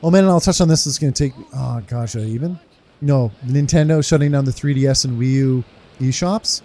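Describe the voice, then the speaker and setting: A man's voice: mid-range voice, a little fast. A man giving casual playthrough commentary as a game streamer, rambling and thinking aloud. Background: soft game music.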